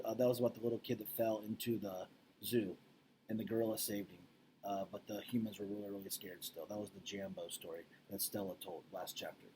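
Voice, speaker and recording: A man's voice: mid-range voice, a little hiss or hum, low-quality recording. A man speaking as a teacher, casually recapping and reading a storybook aloud in an informal, explanatory way, checking in with listeners.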